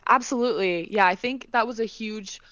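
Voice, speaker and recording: A woman's voice: on the high side, brisk, fairly bright tone, no echo, lo-fi sound. A woman in her twenties with a Californian American accent, chatting upbeat in an interview. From Scotland, raised in California. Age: 20s. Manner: upbeat, chatty, uses um and you know. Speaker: professional basketball player, guest. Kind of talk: casual interview answers.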